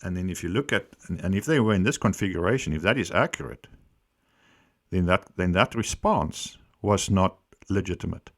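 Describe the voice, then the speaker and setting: A man giving unscripted explanatory commentary as a host, speaking deliberately and emphatically, repeating phrases for stress. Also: low-pitched, animated. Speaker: host giving accident analysis.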